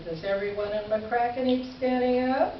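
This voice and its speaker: A woman playing an exasperated, sardonic letter writer in a staged reading, with a measured, dry, indignant delivery. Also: a little high, steady tone, close to an everyday voice. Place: live stage reading in a room, amplified. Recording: roomy, distant, muffled, slightly noisy live recording.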